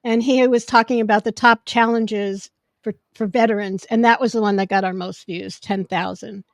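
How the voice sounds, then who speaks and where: A woman hosting a podcast in casual chat, with a loud voice that projects when she gets excited. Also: medium pitch, moderate pace, dry recording. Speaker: podcast host. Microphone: podcast microphone.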